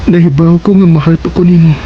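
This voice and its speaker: A man's voice: a little high, fairly even intonation, fast, highs cut off, slight background noise, lightly acted. A man acting an ardent ex-boyfriend in a Cebuano radio drama, with an earnest, coaxing manner. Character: Edon, pleading ex-boyfriend, ardent smooth-talking suitor.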